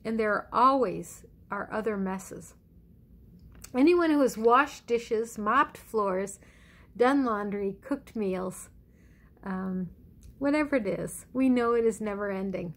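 A middle-aged woman pastor giving a conversational sermon at home in a measured, reflective way with deliberate pauses. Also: a little high. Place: indoors in a living room at home. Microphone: phone or webcam at arm's length.

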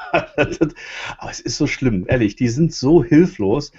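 A man's voice: mid-range voice, huge pitch swings, slow, a little mellow, slightly rough. A man co-hosting an online talk show, speaking in a loose, chatty way with restarts. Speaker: co-host. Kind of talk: casual spoken commentary. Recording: dull, lo-fi sound.

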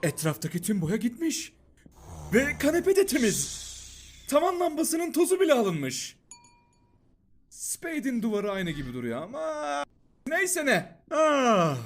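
An actor in an animated series dub playing an easygoing, awkwardly cheerful flat-dweller, hesitant and expressive. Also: mid-range voice, exaggerated voice.

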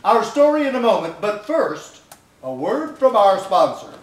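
A man's voice: sits high, very animated, unhurried. An older man playing a formal 1940s radio announcer, reading a scripted introduction on a theater stage. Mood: formal, presentational. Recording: echoey hall, far from camera.

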